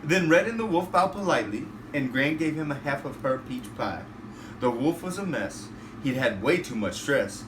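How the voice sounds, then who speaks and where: A man, a father, reading a rhyming bedtime storybook aloud in an animated way. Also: medium pitch, normal speed. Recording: roomy, distant, noisy home recording.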